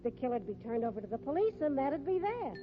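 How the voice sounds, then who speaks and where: A woman's voice: high-pitched, sing-song, fast talker. An actress playing a bold, shrewd circus sideshow woman in a 1950s radio mystery, scornful and slangy. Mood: explaining, insistent. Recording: muffled, noisy old radio recording.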